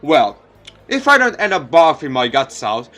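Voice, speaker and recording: A man's voice: a little high, very animated, bright, forceful tone. A man voicing a sardonic adult man who mockingly teases youngsters, drawing out his words, in a visual novel. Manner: mocking, drawn-out teasing. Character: sardonic, teasing adult man, mister at an academy.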